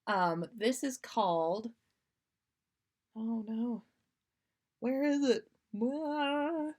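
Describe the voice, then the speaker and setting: A woman's voice: fairly high pitch, extremely slow, darkish tone. A woman podcast host chatting casually on a livestream, giggly and self-deprecating between story readings.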